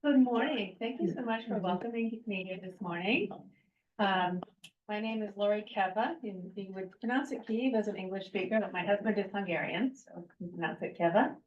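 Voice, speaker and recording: A woman's voice: medium pitch, slight background noise. A woman from Vermont delivers a prepared talk to a meeting room, earnest and a little hesitant with fillers. Her voice sits in a middle range. The recording carries a roomy echo and a dull, muffled tone. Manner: earnest, reads with pauses and fillers. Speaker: guest speaker. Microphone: handheld microphone, some distance. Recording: echoey room, dull lo-fi sound.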